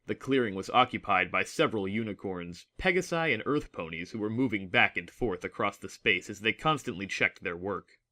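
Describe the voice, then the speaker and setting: A man's voice: fairly low pitch, sharp tone. A man reading scripted story narration as the narrator of a dramatic audiobook.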